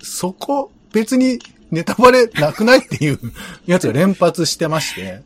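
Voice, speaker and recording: A man co-hosting a relaxed talk show, recapping things in a hesitant, halting way with drawn-out pauses. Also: high voice, breathy edge. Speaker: podcast co-host. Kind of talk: casual radio chat, recapping past episodes.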